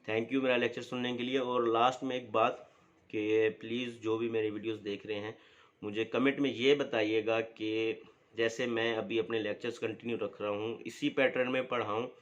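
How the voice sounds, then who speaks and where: A man teaching a law lesson at a mid-range pitch, explaining patiently and padding his sentences with fillers. He slows down carefully whenever he reads legal wording aloud. The recording sounds dull and lo-fi. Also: level tone. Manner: explains slowly with many fillers, reads statute text aloud deliberately. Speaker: law lecturer and advocate.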